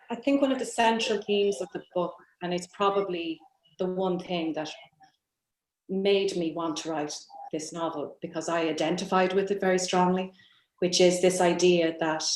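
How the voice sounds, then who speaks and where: Irish female author, lowish register, halting and reflective interview delivery, roomy echoing recording. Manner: halting, thoughtful, searching for words. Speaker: novelist guest on a literary panel. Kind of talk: reflective interview answer about own writing.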